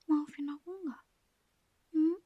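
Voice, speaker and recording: A woman, whispering a slow, coaxing ASMR girlfriend roleplay into a close mic. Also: high voice, flat delivery, no room sound, phone-quality sound. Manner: soft coaxing whisper, apologetic and pleading.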